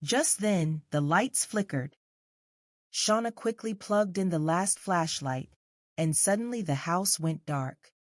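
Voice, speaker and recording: Woman, storybook narrator, scripted children's read-aloud, slightly low pitch, dry studio-like sound. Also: expressive, slow, no echo.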